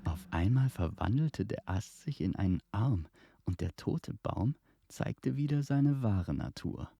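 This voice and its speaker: A man narrating a fantasy tale in a suspenseful, measured storytelling manner. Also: lowish voice, expressive, creaky, dark tone, breathy edge, no echo, clean studio sound. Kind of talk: dramatic scripted fantasy narration.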